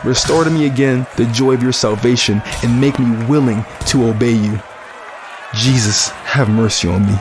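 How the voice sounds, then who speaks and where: A man's voice: mid-range voice, moderate pace, over music, a crowd in the background, close to an everyday voice. A man acting in an audio drama as an earnest, solemn, guilt-ridden man of faith. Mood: pleading, anguished. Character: Jordan, guilt-ridden devout man working at a deadly fight ring. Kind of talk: audio drama acting with inner monologue and prayer.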